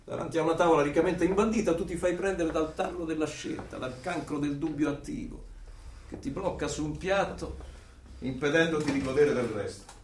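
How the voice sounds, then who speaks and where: An actor playing a coldly doctrinaire interrogator and torturer in a theatrical radio play, lecturing in a declamatory, menacing way. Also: male voice, fairly high pitch, a little fast, natural voice. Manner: declamatory, lecturing, menacing, with sudden loud bursts. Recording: roomy, distant miking with background noise.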